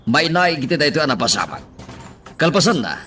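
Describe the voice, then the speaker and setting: A man's voice: on the high side, big pitch swings, a little fast, pushed and bright, recorded from a distance, slight background noise. An actor playing a commanding, wealthy man, shaken but controlled, in an Ilocano radio drama. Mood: serious.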